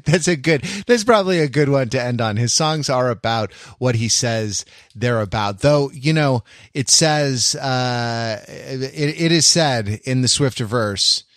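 A man hosting a podcast in a casual, wry way, haltingly circling back on his phrases. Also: medium pitch, lively intonation, a bit bright, no echo, studio quality. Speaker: podcast host.

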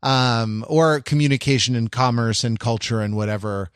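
A man co-hosting a casual podcast chat, musing haltingly and circling back over his phrases. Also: mid-range voice, fairly even intonation, smooth, no echo, clean studio sound. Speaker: podcast co-host.

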